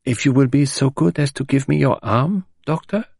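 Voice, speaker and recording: A man's voice: medium pitch, normal speed, gravelly, darkish tone, breathy edge, no echo, clean studio sound, voice pushed up. A man voicing a dignified, grieving middle-aged French widow who speaks in a composed, formal, restrained way.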